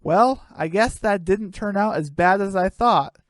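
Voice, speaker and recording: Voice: medium pitch, unhurried, no echo, studio quality, close to an everyday voice. A performer voicing a cautious, exasperated, sensible chicken guardian in a hobby comedy radio play. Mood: resigned.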